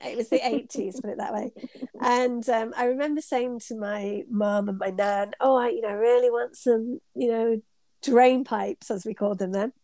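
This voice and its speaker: A middle-aged woman with a British accent talks in a fairly high voice, a bit congested from a cold. She tells chatty, meandering stories with plenty of ums, and the recording is close and dry. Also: steady tone, normal speed, mellow, no echo. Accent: British English. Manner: chatty, rambling storyteller with frequent ums and laughs. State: stuffed up with a cold. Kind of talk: casual conversational interview.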